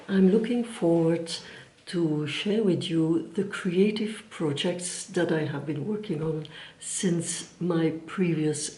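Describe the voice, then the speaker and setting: A woman from Belgium whose first language is Dutch, speaking English with a Flemish accent and hosting her craft channel, gentle and sometimes searching for her words. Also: fairly low pitch, reverberant. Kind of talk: casual chat to camera.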